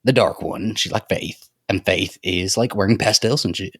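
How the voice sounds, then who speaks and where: A man's voice: low-pitched, normal speed, a bit bright, husky, no room sound, studio quality. A man co-hosting a podcast in casual, informal chat. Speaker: podcast co-host.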